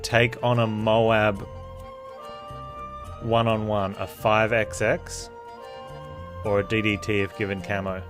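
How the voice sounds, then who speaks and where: Guy streaming a game, kinda low voice, deadpan rambly talk to chat, soft music under it. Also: takes it slowly, pauses often. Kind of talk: casual live stream chat with rambling commentary.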